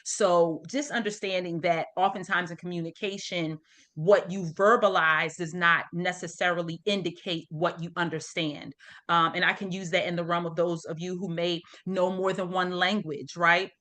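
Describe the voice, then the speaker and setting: A middle-aged woman from the United States with a slightly low voice leads a group session over a video call. She explains things informally, step by step, and often checks that listeners are following. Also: steady tone, moderate pace, a bit bright. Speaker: parent support group host. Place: online video meeting.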